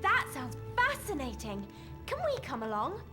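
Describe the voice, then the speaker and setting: An actress playing a bookish, self-assured schoolgirl witch in a video game, with a brisk, knowing manner. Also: high-pitched, wildly swooping pitch, over loud music. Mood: keen. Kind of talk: video game cutscene acting.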